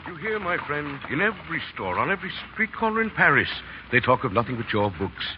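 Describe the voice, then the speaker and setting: Animated publisher, old radio drama. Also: male voice, a little high, fast talker, noisy background. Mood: jovial. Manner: theatrical, expressive. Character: worldly French book publisher, friendly, excitable man. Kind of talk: 1930s radio drama acting. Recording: dull 1930s radio broadcast with music.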